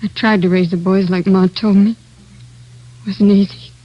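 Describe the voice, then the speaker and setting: An American actress playing a weary, grieving working woman in her thirties, halting and plaintive, in a 1940s radio drama. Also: mid-range voice, steady tone, dry recording, close to an everyday voice. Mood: subdued, defensive. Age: 30s. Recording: muffled old radio recording.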